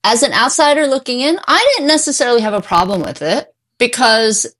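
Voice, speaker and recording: A woman's voice: fairly high pitch, animated, a little fast, a bit bright. A middle-aged woman giving emphatic, opinionated answers as a therapist guest in a conversational interview. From born in Korea, immigrated at age five. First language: English. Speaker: guest, mental health therapist.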